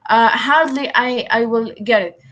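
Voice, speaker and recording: A woman whose first language is Arabic answers interview questions in English, halting often with fillers and restarts. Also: on the high side, fairly bright tone. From Palestine. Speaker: guest.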